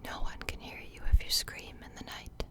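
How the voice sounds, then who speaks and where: A woman's voice: normal speed, close to an everyday voice. A woman voicing a shy, nervous, self-doubting guest in a storybook reading, in a tentative whisper.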